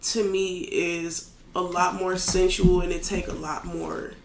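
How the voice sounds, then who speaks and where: A man with a raspy voice talking casually as a podcast guest, candid and loose, with plenty of fillers. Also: high-pitched, level tone, measured pace, a crowd in the background. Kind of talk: casual chat. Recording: echoey room.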